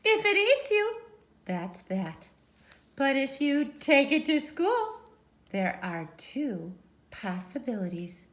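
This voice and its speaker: A woman reading a picture book aloud like a teacher to children, theatrical and emphatic, with dramatic pauses and sudden swells. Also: high-pitched, wildly swooping pitch.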